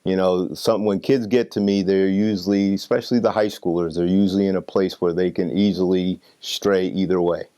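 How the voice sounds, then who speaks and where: A man's voice: sits low, fairly even intonation, moderate pace, few pauses, warm tone, clear, resonant tone. A man explaining his work with young people in an interview, using emphatic repetition. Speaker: youth mentor.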